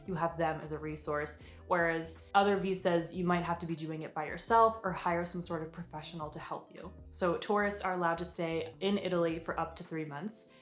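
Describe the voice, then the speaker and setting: An upbeat young American woman talks through her plans in a casual vlog, chatty and full of fillers. Her voice sits a little low. The room rings with echo, and soft music plays faintly underneath. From United States. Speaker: vlogger.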